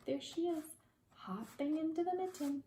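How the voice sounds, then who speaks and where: A woman preschool teacher reading a children's story aloud in a dramatic way, with hushed asides to young listeners. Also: sits high, normal speed, reverberant, a little hiss or hum, low-quality recording.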